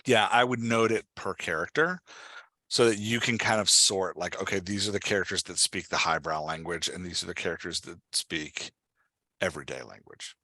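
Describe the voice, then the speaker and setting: A man's voice: deep voice, normal speed, bright, forceful tone, dry recording. A man hosting and coaching in a casual conversation, explaining step by step and often checking that he is understood. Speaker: podcast host and writing coach.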